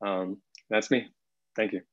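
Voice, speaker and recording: A man's voice: on the low side, steady tone, unhurried. A young man speaking as the narrator of a table read over a video call. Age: young adult. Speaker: narrator and actor. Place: plain room at home. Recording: dull video-call audio.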